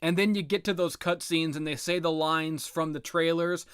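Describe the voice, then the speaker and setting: A man with a slightly high voice talks off the cuff about video games. He is blunt and sardonic and swears freely. The sound is close and dry, with very little room in it. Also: fairly even intonation, normal speed, fairly bright tone, clear, resonant tone, dry recording. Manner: blunt, sardonic, profane ranting. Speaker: video game commentator, host. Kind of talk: casual unscripted opinion commentary on video games.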